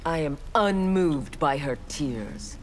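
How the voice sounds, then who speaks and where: A woman's voice: low voice, lively intonation, slow, slight background noise. A woman voicing a proud, ruthless young female ninja warrior in a video game, speaking in a cold, haughty, clipped way.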